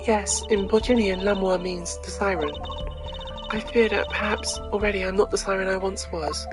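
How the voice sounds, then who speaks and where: A woman voicing a serene, mysterious foreign singer in an amateur video game voice-over, speaking in a measured, formal and wistful way. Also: mid-range voice, steady tone, natural voice. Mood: serene, gracious. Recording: game music behind the voice.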